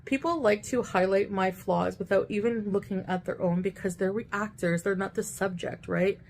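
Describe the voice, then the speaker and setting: A woman's voice: mid-range voice, fairly even intonation, moderate pace, darkish tone, a little hiss or hum. A woman talking to her camera in a defensive, self-justifying way, played back in a reaction stream. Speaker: video creator.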